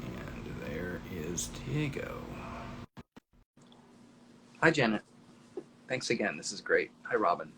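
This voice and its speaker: A man's voice: low-pitched, very animated, takes it slowly, pauses often, noisy background. A man hosting a casual interview over a video call, chatty and asking open questions. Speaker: host, interviewer.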